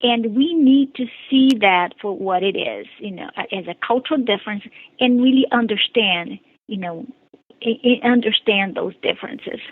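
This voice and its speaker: A woman from Brazil with a Brazilian accent, a guest author speaking earnestly and deliberately in a radio interview and repeating her key phrases. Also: mid-range voice. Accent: Brazilian Portuguese. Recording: dry tinny phone line.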